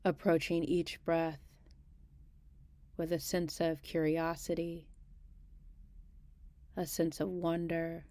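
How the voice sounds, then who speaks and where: A woman guiding a meditation in a gentle, unhurried manner, leaving long pauses between short phrases. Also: sits low, flat delivery, no echo. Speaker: meditation guide. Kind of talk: guided body scan meditation.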